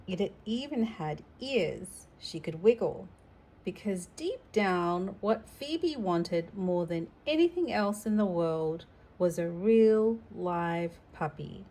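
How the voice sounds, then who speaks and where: A woman reads a children's picture book aloud at a very unhurried pace, with a mid-range voice. She is expressive and drops in simple, chatty asides for a young listener. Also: medium pitch. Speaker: storytime reader.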